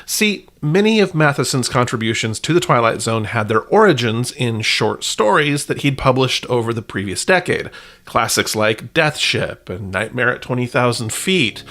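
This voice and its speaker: A man's voice: mid-range voice, very animated, moderate pace, sharp tone. A man hosting a podcast, reading scripted narration in a wry way with playful asides. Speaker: podcast host.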